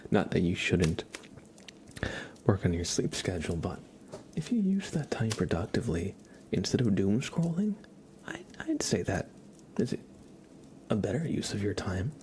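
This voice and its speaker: A man hosting an ASMR livestream, chatting casually and playfully in a soft voice that often slips into whispers. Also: fairly low pitch, sing-song, normal speed, long pauses, a little hiss or hum. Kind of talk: ASMR, casual chat to viewers and a pet cat.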